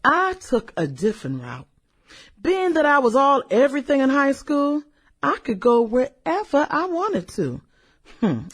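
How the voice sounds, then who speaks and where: A woman's voice: high voice, huge pitch swings, measured pace, full character voice. A woman acting in a satirical radio drama as a vain, gossipy former cheerleader, with a sassy, drawling delivery and theatrical exclamations. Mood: boastful.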